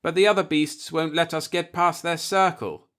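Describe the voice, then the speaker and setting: A man's voice: a little high, steady tone, moderate pace, fairly bright tone, smooth, voice pushed up, natural voice. A man voicing a stubborn, easygoing veteran first officer of a spaceship in an audiobook, blunt and overconfident. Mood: resigned.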